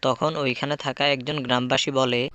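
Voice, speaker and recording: Bright-voiced male folktale narrator. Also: mid-range voice, little pitch movement, moderate pace, no echo. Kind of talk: scripted folktale narration for a cartoon.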